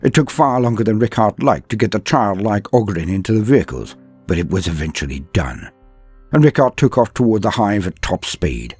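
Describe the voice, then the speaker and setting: A man delivers dramatic scripted war-fantasy narration in a low, husky voice. His pitch swings widely for emphasis, and he leaves weighty, solemn pauses between phrases. Music plays behind him. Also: expressive, a little mellow. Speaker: storyteller, channel narrator.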